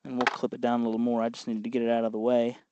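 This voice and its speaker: A man hosting an informal how-to tutorial, explaining step by step in an unhurried way as he works with his hands. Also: medium pitch, completely flat delivery, dull audio, noisy background. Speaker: host of a DIY electronics tutorial.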